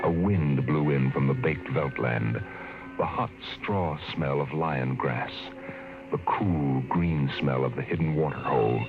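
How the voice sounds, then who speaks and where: A man narrating a 1950s radio drama, measured and slowing down for dramatic effect. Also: a bass voice, vocal fry. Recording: muffled old radio broadcast with music.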